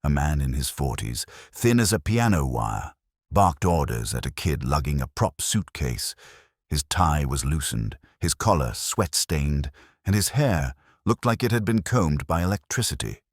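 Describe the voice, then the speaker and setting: Male audiobook narrator: very deep, lively inflection, dry close sound, wry hardboiled noir storytelling. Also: measured pace, dry recording. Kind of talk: scripted first-person detective story narration.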